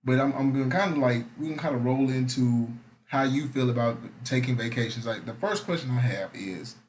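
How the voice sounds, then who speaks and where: A man's voice: mid-range voice, brisk, a little hiss or hum, poor audio. Laid-back slangy man hosting a podcast. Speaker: podcast host. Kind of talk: casual chat.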